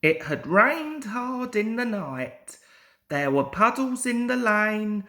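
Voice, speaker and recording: Very slow, expressive young male storyteller. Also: high voice. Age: young adult. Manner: deliberate, expressive storybook delivery. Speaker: amateur storyteller. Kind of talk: reading a children's story aloud.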